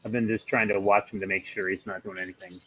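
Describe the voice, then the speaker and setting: Male tabletop player, lowish voice, informal conversational delivery. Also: little pitch movement, fast talker, a bit bright, a little hiss or hum, rough recording. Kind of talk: casual table chat.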